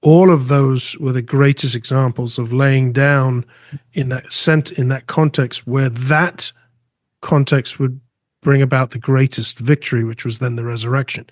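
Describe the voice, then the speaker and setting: A man's voice: medium pitch, fairly even intonation, dry recording, studio quality. A middle-aged man from England who grew up in Spain, a guest answering interview questions earnestly, often restarting his sentences. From England, raised in Spain. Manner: earnest, halting, with frequent restarts. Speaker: artist and guest.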